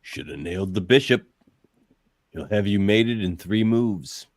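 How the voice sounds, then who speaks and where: A man playing a blunt, gruff, tough brawler in a radio play, speaking tersely. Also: on the low side, animated, measured pace, dry recording. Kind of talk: radio-play script reading.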